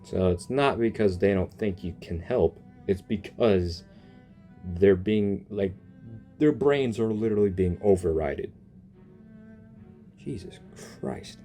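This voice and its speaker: A game streamer giving casual, expressive, exclamatory reactions to a story. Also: deep voice, slow, pauses often. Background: game music.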